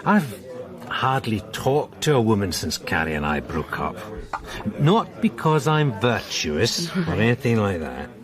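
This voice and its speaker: Casting: hopeful British suitor, lowish voice, wildly varied intonation, coaxing, radio play with crowd chatter. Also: male voice, sing-song, unhurried. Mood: earnest, hopeful. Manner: earnest, coaxing. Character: earnest, hopeful, easygoing divorced-type suitor on a date. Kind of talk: naturalistic radio drama acting. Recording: busy crowd chatter around the voice.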